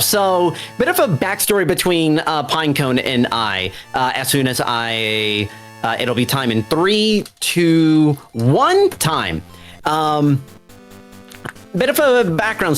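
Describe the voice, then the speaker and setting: A speedrun racer casually commentating on their own gameplay, talking through each move in an animated way. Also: mid-range voice, takes it slowly, soft background music. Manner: animated, self-narrating play.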